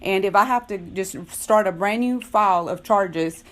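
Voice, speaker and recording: A middle-aged woman speaks as a parent lodging a complaint in a phone dispute. She is firm and insistent, often circling back to repeat her point, in a voice of ordinary pitch. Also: normal speed. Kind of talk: tense phone conversation, disputing.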